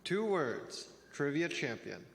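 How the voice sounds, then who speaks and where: Casting: trivia-loving male youth, played by a man, measured know-it-all, slightly high voice, church skit with faint hall noise. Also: sing-song, gravelly, exaggerated voice. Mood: bookish, measured. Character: bookish youth, a self-declared trivia champion. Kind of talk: youth church reader's theater. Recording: noisy reverberant hall.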